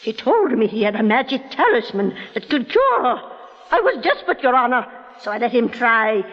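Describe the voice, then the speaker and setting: A woman's voice: fairly high pitch, sing-song, normal speed, a bit bright. An actress playing a desperate, deferential mother accused of witchcraft in a 1940s radio drama. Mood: earnest pleading. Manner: deferential, earnest. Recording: reverberant old radio drama recording.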